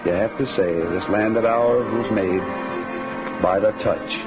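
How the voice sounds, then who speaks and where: A man's voice: lowish voice, a little slow, close to an everyday voice. A man playing a kindly, reflective singing cowboy in an old-time radio western, with a folksy, poetic way of talking. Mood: reverent, reflective. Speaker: lead actor and singing cowboy. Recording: muffled old radio recording with music.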